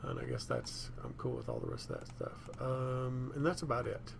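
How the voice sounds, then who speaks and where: Gravelly-voiced man giving a casual screencast. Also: medium pitch, huge pitch swings, moderate pace, noisy background. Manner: unscripted, thinking aloud. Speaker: software tutorial presenter.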